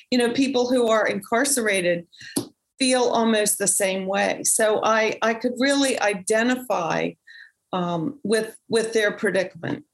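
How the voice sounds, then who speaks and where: Reflective woman in a conversation. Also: fairly high pitch. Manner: reflective, with frequent restarts and fillers. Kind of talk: casual conversation.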